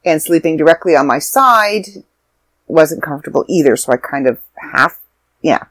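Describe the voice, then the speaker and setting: A woman's voice: low-pitched, lively intonation, normal speed, fairly bright tone, no echo. A young woman chats to her camera at home in a loose, rambling way, often stopping mid-thought and restarting. She sounds tired and stuffed up, with a touch of a sore throat. The sound is close and dry, with hardly any room around her. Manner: rambling, self-interrupting. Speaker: vlogger. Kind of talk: casual chat to camera.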